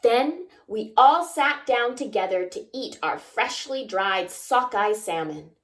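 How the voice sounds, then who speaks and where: A woman reads a picture book aloud for children in a fairly high voice. Her delivery is very slow, with animated, swooping intonation. She addresses young listeners directly with simple questions. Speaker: children's story time reader.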